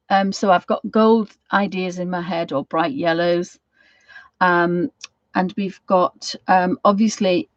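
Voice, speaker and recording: A middle-aged British woman with a slightly croaky voice, giving a casual craft tutorial in a rambling, homely way, thinking aloud as she goes. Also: medium pitch, a little mellow, dry recording, muffled recording. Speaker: watercolour craft tutor hosting a live session.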